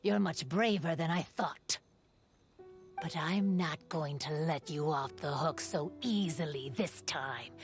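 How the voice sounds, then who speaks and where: A woman voicing a mysterious, aloof and menacing yokai lady in a video game, with a measured, haughty manner. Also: sits low, soft background music. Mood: aloof, threatening.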